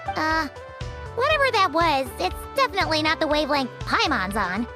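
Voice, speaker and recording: A tiny fairy sidekick with a squeaky, extremely high childlike voice. The delivery is chirpy and cheeky, bouncing around in pitch like game animation, with loud music behind. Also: female voice, big pitch swings, moderate pace. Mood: baffled. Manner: chirpy, cute. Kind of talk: cartoonish video game voice acting.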